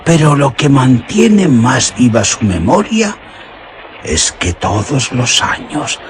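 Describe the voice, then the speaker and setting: A man's voice: medium pitch, sing-song, a little hiss or hum. A man playing a rustic herdsman who tells an old legend in a mysterious, suspenseful radio drama manner. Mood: eerie, grave. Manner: mysterious, drawn-out, suspenseful storytelling.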